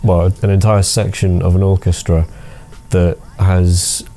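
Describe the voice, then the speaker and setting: A young man with a thick Scouse accent, a music student answering interview questions thoughtfully, pausing often with ums. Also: sits low, level tone, warm tone, slight background noise. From Liverpool. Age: young adult. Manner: thoughtful, hesitant with many ums.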